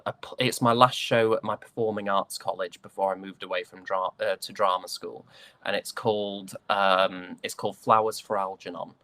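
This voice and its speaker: Young british guy being interviewed, kinda low voice, stops and starts a lot, really dry recording no room sound. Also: fairly bright tone, no room sound. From United Kingdom. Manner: hesitant, halting storytelling. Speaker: interview guest, stage and voice actor. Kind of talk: casual interview chat.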